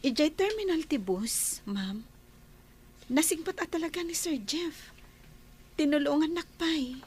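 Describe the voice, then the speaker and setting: A woman's voice: sits high, expressive, measured pace. A woman voicing a polite young nurse, newly hired and unsure of herself. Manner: polite, hesitant. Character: humble young nurse seeking work.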